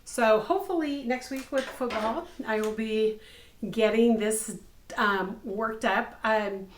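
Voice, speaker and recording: Upbeat woman podcast host, fifties, a bit hoarse, fairly high voice, talking into a desk mic at home. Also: slow, a little hiss or hum. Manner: chatty and upbeat, many ums. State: slightly hoarse from a cold. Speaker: podcast host, crochet designer. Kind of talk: casual craft podcast chat. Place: bedroom craft room at home. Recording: echoey room.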